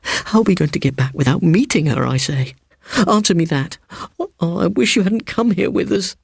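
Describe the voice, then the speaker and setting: Secretive British schoolboy male reader acting, higher hushed husky voice, bitter and scared, ghost-story audiobook. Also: normal speed, no echo, studio quality, full character voice. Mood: panicky. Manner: hushed, breathy whispering. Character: frightened, secretive, bitter schoolboy haunted by his aunt.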